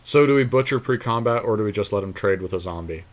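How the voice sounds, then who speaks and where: Male gaming podcast co-host, fairly low pitch, conversational, thinks aloud while commentating play. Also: animated, fast talker. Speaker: podcast co-host commenting on a card game match.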